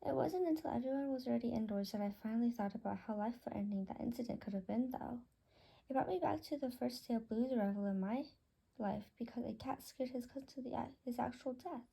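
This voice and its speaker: Husky, high-voiced woman reading a story. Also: quick pace, warm tone, low-quality recording. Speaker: author reading own book aloud. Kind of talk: expressive storybook read-aloud.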